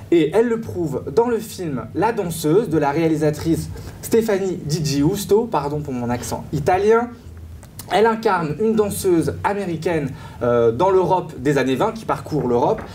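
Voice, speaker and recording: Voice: mid-range voice, darkish tone, a little hiss or hum. A young student presenting a celebrity gossip column on a studio panel, reading from notes with frequent hesitations. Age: young adult. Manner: reads steadily with frequent "euh" hesitations. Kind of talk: scripted TV column read from notes.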